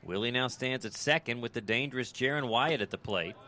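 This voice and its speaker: An American man doing live radio play-by-play of a baseball game, in clipped descriptive phrases that turn conversational between pitches. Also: a little fast, pushed and bright. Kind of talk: live sports commentary.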